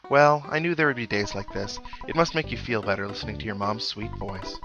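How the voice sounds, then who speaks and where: A man's voice: lowish voice, animated, a little fast, dull audio. A man voicing a sweet, doting mother from a video game, talking as if on the telephone, with music behind. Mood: sweet concern. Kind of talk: video game dialogue read aloud.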